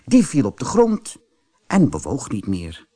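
Very animated, husky male storyteller. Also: mid-range voice, unhurried. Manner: expressive storytelling. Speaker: audiobook narrator. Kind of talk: scripted fairy tale narration for children.

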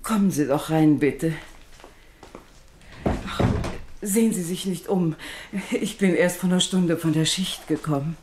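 A woman's voice: low-pitched, measured pace, pauses often, background noise. An actress playing a weary, anxious widowed mother who pleads for her troubled son in a radio crime drama. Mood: weary, worried. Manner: worried, pleading. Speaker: actor.

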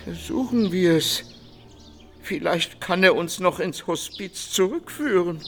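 An actor playing a resigned, anxious blind man in a radio play, measured and plaintive. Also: high-pitched, expressive, a bit bright, heavily acted. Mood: grave. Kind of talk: German radio play acting.

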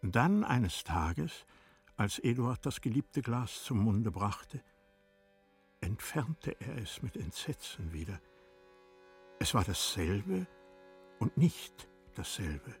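Male narrator for classic literature: very husky, slow and solemn, measured phrasing. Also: on the low side, big pitch swings, faint music behind.

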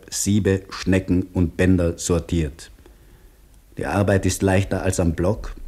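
Very deep, sober diarist. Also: male voice, dark tone. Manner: measured, terse, reflective. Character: sober, weary male dam labourer reading his diary. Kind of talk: radio drama diary monologue.

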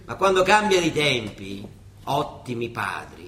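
An actor playing an older monsignor and judge with a Roman accent, halting, colloquial and ironic, in radio theatre style. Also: male voice, mid-range voice, sing-song, fairly bright tone, roomy sound. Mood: wry, challenging. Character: old Roman monsignor judge, wry, rambling, quietly defiant.

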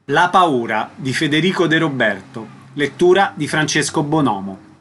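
A man's voice: a little high, lively intonation, normal speed, a bit bright, a little hiss or hum. A man reading a scripted radio announcement as a programme announcer.

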